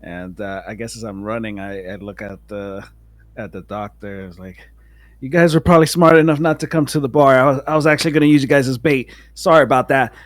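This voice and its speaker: A man casually narrating his character's moves in a tabletop game, halting and easygoing. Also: medium pitch, no room sound.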